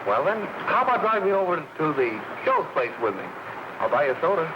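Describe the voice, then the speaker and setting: An actor playing an agreeable, casual fellow in a wholesome film, in 1930s acting style. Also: male voice, on the high side, big pitch swings, fast talker. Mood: friendly. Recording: muffled, noisy 1930s film soundtrack.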